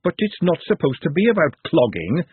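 A man voicing a brisk, bossy young woman with a husky voice. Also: fairly high pitch, big pitch swings, no room sound, pitched above the performer's own voice, exaggerated voice. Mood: urgent. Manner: urgent, bossy. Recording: tinny phone-like band.

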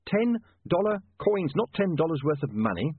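A man's voice: on the high side, sing-song, brisk, husky, no room sound, pitched above the performer's own voice. A man voicing an earnest, frantic, educated young newspaperman with an urgent, insistent manner in an audiobook. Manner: urgent, insistent, hesitant at times. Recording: muffled narrow-band, phone-like radio sound.

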